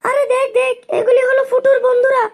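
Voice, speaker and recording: নারী কণ্ঠে চড়া গলার গ্রাম্য কাকিমা. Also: female voice, extremely high pitch, completely flat delivery, brisk. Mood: calling out. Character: village mother, older woman, obliging but curious. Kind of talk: comic cartoon dialogue.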